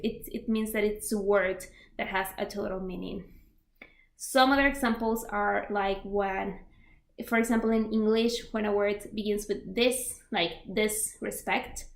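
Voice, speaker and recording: A woman's voice: mid-range voice, roomy sound. Chatty Spanish-accented woman teaching languages. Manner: chatty, filler-heavy, explains with examples. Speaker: language learning vlogger. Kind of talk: casual instructional talk.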